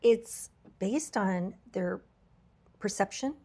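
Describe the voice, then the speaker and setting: A woman hosting a podcast, her voice a little raspy from travel, talking in an earnest, reflective way with frequent fillers and restarts. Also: mid-range voice, takes it slowly, warm tone. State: slightly raspy voice from travel fatigue. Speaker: podcast host. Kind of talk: candid podcast conversation.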